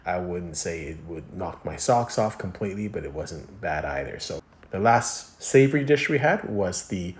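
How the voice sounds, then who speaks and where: Deep-voiced man reviewing food. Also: expressive, normal speed. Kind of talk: casual review voice-over.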